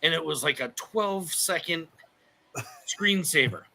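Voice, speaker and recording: Bright-voiced man in his forties. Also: a little high, expressive, unhurried, vocal fry, a little hiss or hum. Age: 40s. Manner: rambling, self-correcting, laughs often. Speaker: channel host. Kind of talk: casual livestream chat.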